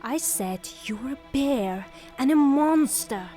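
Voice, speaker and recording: Woman playing a high-voiced, haughty, fiery widow. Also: lively intonation, takes it slowly, mellow, soft background music. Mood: scornful, furious. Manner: haughty, declamatory, theatrical. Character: proud, fiery, defiant widowed lady. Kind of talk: theatrical audio drama acting.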